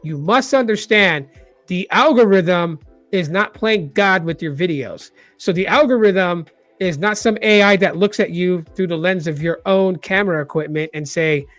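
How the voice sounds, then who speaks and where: A man's voice: sits high, normal speed, a bit bright, no room sound, soft background music. A man in his forties with a light voice, talking casually as a tech channel host, rambling and repeating words with lots of fillers. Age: 40s. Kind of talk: casual vlog talk.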